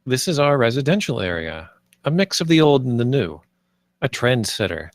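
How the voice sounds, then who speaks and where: A man's voice: mid-range voice, sing-song, moderate pace, no room sound, natural voice. Man playing an earnest, idealistic park planner, dry sound. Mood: proud, eager. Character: earnest, idealistic male theme park planner named Marty, nervous, visionary. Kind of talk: table read of a screenplay.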